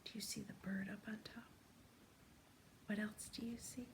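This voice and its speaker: A woman doing an interactive read-aloud for young children, gently asking questions and pausing for answers. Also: medium pitch, level tone, creaky, background noise. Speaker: teacher reading a picture book to young children. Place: small room at home, indoors.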